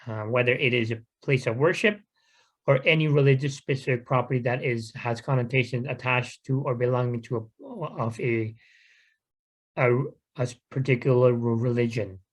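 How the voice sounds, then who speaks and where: A man giving an unscripted informational talk to a community group in an earnest, measured, explanatory way. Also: medium pitch, fairly bright tone. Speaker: guest speaker at a community talk.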